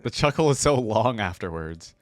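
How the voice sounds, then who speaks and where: A man's voice: on the low side, expressive, moderate pace, no room sound, studio quality. A man giving casual, musing reaction commentary as the host of a video.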